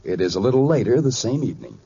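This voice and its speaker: A man's voice: mid-range voice, lively intonation, fast, slightly rough. A man announcing in scripted 1940s radio narration, on a muffled vintage recording. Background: loud music.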